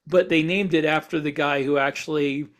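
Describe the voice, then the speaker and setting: A man co-hosting a casual podcast, explaining in a halting way and repeating words as he works out his thoughts. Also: on the high side, steady tone, fairly bright tone. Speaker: podcast co-host and science fiction author.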